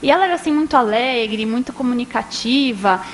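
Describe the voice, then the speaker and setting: A young woman from São tells a story in a relaxed, conversational way, her voice sitting a little high. She keeps checking in with little tag questions and brings quoted people to life with lively voices. A faint hiss runs underneath. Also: a little fast. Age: young adult. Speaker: guest speaker, journalist and biographer. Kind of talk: casual storytelling talk. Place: indoor talk at an archive.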